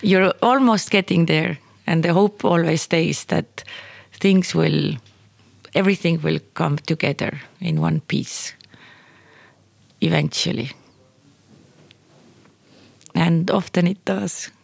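Woman, unhurried and gravelly, hesitant and reflective in casual conversation, close dry sound. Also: fairly low pitch, dry recording. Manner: hesitant, thoughtful, searching for words. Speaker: performance maker, guest.

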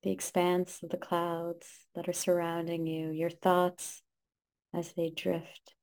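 A woman leading a guided meditation, giving calm, gentle instructions in short, spaced phrases. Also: lowish voice, slow pace.

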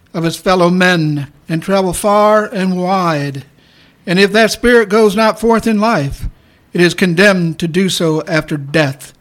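A man playing a stern, mournful male ghost of a dead businessman in a community radio play, speaking in a solemn, declamatory way. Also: high voice.

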